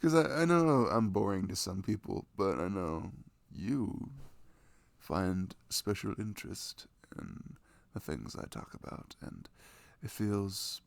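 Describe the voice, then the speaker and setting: A man's voice: sits low, sing-song, slow pace, gravelly, no echo, heavily acted. A man playing a sweet, affectionate, nerdy young boyfriend in an ASMR roleplay, chatting tenderly in a casual, rambling way. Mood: tender, grateful. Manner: tender, rambling, giggly, gushing about games.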